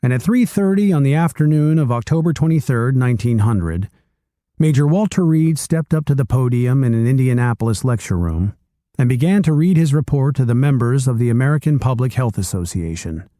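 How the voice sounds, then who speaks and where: A man reading a nonfiction book aloud chapter by chapter, with expressive storytelling delivery. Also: mid-range voice, brisk, dry recording, clean studio sound. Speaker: reader.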